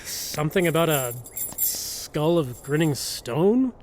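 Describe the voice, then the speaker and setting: A man's voice: fairly high pitch, expressive, takes it slowly, a little hiss or hum. An actor playing an earnest, proud young knight who banters easily with an old friend. Music plays behind his voice. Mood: friendly. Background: background music.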